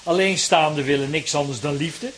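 An actor in a radio play playing a breezy, cynical bachelor brother who gets defensive. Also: male voice, fairly high pitch, normal speed, fairly bright tone, natural voice. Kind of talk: Dutch radio play acting. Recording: faint kitchen sizzle and clatter.

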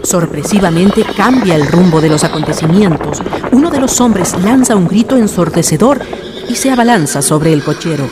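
A man's voice: high voice, expressive, moderate pace, noisy background. A man narrating a scripted horror radio drama in a solemn, dramatic manner. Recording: radio play with music underneath. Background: music.

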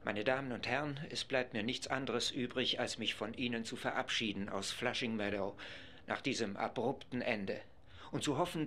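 A man's voice: mid-range voice, sharp tone, a little hiss or hum. A man speaking as a live radio reporter, describing events in an assembly hall from the press gallery. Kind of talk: live radio commentary, scripted.

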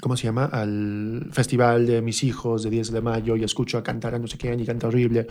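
A middle-aged man with a Mexican accent chatting casually as an interview guest, animated and colloquial, acting out imagined remarks. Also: medium pitch, brisk. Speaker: guest, stage performer.

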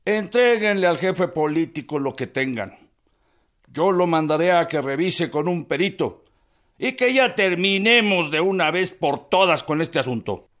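An actor playing a state governor in a radio drama, authoritative and businesslike. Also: male voice, a little high, lively intonation, unhurried, fairly bright tone. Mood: official, brisk.

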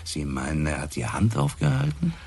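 A very deep-voiced, laconic police inspector. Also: male voice, sing-song, moderate pace, gravelly, husky, full character voice. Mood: probing, musing. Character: laconic, shrewd, musing male police inspector. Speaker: radio drama actor. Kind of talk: German radio crime drama dialogue.

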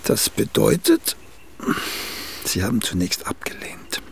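An actor playing a vain, condescending older playwright in a radio comedy, with a gravelly, husky voice and a drawn-out, self-important manner. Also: male voice, on the low side, wildly swooping pitch, a little hiss or hum, exaggerated voice. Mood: needling, probing. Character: vain, aging celebrated playwright, condescending and touchy. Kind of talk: literary comedy radio play acting.

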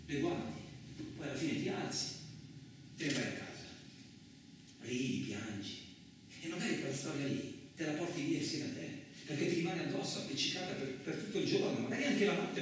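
Echoey, distant, rough trucker. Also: male voice, on the high side, normal speed, pauses often. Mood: reflective, wistful. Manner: animated, rambling, with rhetorical tag questions. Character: rough, talkative, indignant veteran Italian truck driver. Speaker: actor performing a radio theatre monologue. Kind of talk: colloquial theatrical monologue, storytelling. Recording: very echoey, distant stage recording, noisy.

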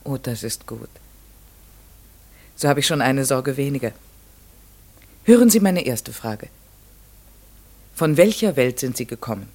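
A man's voice: medium pitch, expressive, measured pace, pauses often, a little mellow. An actor playing a curious, courteous, methodical man in a classic radio play, speaking in a careful, articulate and inquisitive way. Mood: curious, probing. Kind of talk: classic German radio play, narration and dialogue.

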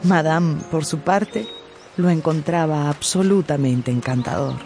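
A woman giving scripted, expressive narration for a radio novel. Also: low voice, a little slow, music in the background. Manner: expressive storytelling. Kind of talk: scripted dramatized audiobook narration.

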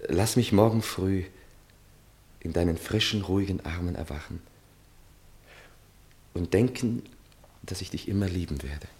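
An actor playing a lovesick, impulsive young gentleman in a 1960s German radio play, speaking in a hesitant, sighing, impassioned way. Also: deep voice, unhurried, long pauses. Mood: amorous. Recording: 1960 radio drama, faint music.

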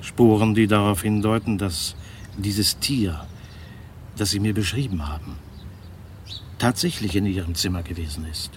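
An actor playing a grave, authoritative yet soothing family doctor in a German radio drama, measured and probing. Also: male voice, lowish voice, a little hiss or hum, natural voice.

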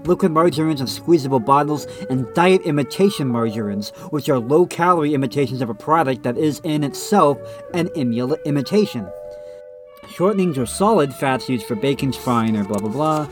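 A man on a casual podcast with a slightly nasal voice, reading a text aloud and breaking off into blunt remarks of his own. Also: medium pitch. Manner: reads passages then adds blunt off-the-cuff remarks. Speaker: podcast co-host.

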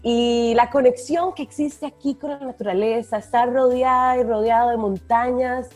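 A woman with a Costa Rican Spanish accent co-hosting a podcast. She speaks warmly and with enthusiasm. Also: fairly high pitch. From Costa Rica. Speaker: podcast co-host, environmental educator. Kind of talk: casual podcast chat.

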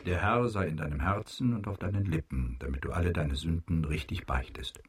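Deep-voiced solemn priest. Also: male voice, fairly quick, husky. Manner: formal, liturgical, commanding. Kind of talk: radio drama acting. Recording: music behind the voice.

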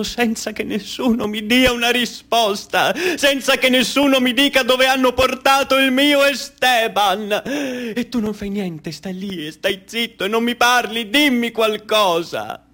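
A man's voice: high-pitched, bright, forceful tone, pitched above the performer's own voice. A man acting an anguished mother of a disappeared son in a radio drama, wailing and reproachful.

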